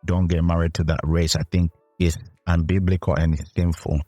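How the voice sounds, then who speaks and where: Very deep-voiced earnest man. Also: little pitch movement, normal speed, dark tone, dry recording, soft background music. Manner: earnest, preacherly, builds his point. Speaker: podcast co-host. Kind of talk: casual chat on faith.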